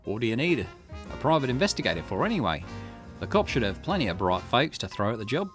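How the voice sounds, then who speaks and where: A man reads a mystery game's dialogue aloud for his channel, playing one character after another in a mid-range voice. His pitch swings widely from line to line. Game music plays clearly underneath him. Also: fast talker. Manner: switches between character lines with lively pitch swings. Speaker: gaming channel host. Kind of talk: reading game dialogue aloud in a let's play.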